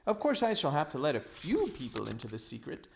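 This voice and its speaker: Old gentleman, sixties, high-pitched, vain, greedy, pompous, excitable, storybook character. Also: a little fast, gravelly, slight background noise, full character voice. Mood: gleeful, scheming. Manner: gleeful, pompous, grandly exclamatory. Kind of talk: storybook read-aloud character voice.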